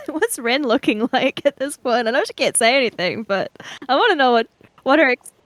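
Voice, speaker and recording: A woman's voice: high-pitched, sing-song, moderate pace, fairly bright tone, dry recording. A woman playing a tabletop roleplaying game, casually telling what her character does and thinks.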